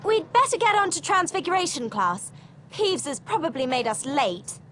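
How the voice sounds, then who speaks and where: A woman's voice: high voice, sing-song, normal speed, heavy background noise. A woman playing a keen, studious young schoolgirl witch in a video game, with an earnest manner. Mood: eager, earnest. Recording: game audio under loud music.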